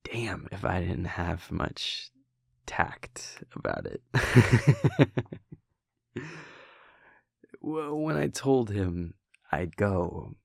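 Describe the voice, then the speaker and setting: A man performs a wry, affectionate vampire prince in an intimate audio roleplay. His voice sits very low and he takes his time, speaking slowly and softly with casual hesitations. It is recorded close and dry, like a private chat with a partner. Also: huge pitch swings, dry recording, full character voice. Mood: rueful. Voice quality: low, creaky. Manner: hesitant, chuckling, conversational, soft-spoken. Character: playful male vampire prince, affectionate boyfriend, wryly reflective. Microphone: close, dry studio-style microphone.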